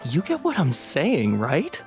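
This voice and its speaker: A man's voice: on the high side, huge pitch swings, takes it slowly, music in the background, exaggerated voice. A man playing a gossipy, awkward restaurant customer in a video game, conspiratorial and easily flustered. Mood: eager. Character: gossipy, smitten, skittish male restaurant patron. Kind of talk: game voice acting.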